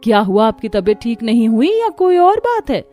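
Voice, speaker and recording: A woman's voice: fairly high pitch, very animated, moderate pace, dark tone. A woman voicing an inquisitive, teasing elder sister-in-law in a cartoon family story, politely probing, over music. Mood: suspicious.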